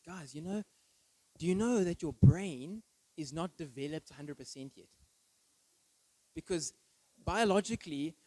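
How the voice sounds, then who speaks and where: Higher-voiced man preaching conversationally. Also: dark tone, no echo, low-quality recording. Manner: informal, checks in with listeners using tag questions. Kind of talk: conversational sermon with personal anecdotes.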